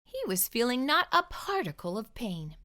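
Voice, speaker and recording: A woman reading a children's storybook aloud expressively, with clear enunciation. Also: on the high side, very animated, moderate pace, bright, forceful tone.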